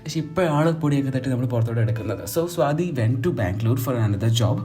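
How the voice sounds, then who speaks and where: A man's voice: medium pitch, animated, a little fast, mellow. A young man narrating a casual vlog in a chatty, teasing way, mixing in English phrases. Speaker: vlog host. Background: background music.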